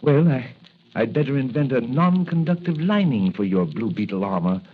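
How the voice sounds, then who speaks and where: An actor playing an excitable, kindly doctor-inventor who talks in hesitant exclamations, in 1940s radio serial style. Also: male voice, medium pitch, moderate pace, breathy edge. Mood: fussy, concerned. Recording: muffled, noisy old radio broadcast.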